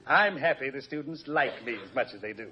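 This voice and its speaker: A man's voice: mid-range voice, expressive, fairly quick, a little hiss or hum, close to an everyday voice. An actor playing a vain, self-satisfied college professor in a 1950s radio comedy, pompous, hemming and fussy in his talk. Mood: smug. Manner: pompous, hemming, chuckles at himself. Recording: muffled old radio recording.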